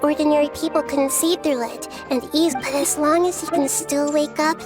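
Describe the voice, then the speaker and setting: A woman playing a gentle, thoughtful young girl deity in a video game, speaking in a measured, earnest way. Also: sits high, fairly even intonation, close to an everyday voice. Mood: reflective. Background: background music.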